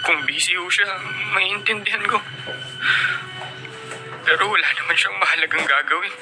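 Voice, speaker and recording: An actor in a radio drama playing a polite teenage son who speaks with respect and sounds hurt. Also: male voice, high-pitched, moderate pace, music in the background. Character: dutiful teenage son, a top student. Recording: noisy old AM radio broadcast.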